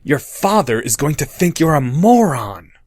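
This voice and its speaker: A man's voice: fairly high pitch, sing-song, a little slow, no room sound, clean studio sound, pitched above the performer's own voice. A man voicing a clever, earnest schoolboy wizard who raises his voice to take charge. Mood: urgent. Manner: earnest, rising to loud calls.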